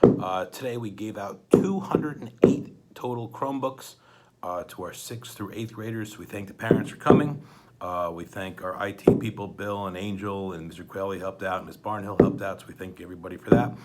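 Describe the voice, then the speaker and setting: A man's voice: low-pitched, moderate pace, heavy background noise. A man speaking as a school principal, casual and conversational, doing an informal read-aloud with asides.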